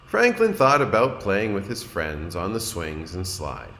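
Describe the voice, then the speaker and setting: A man, a kindergarten teacher, reading a storybook aloud to young children in an expressive, deliberate way and addressing his listeners. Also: sits low. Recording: roomy echo, noticeable background noise.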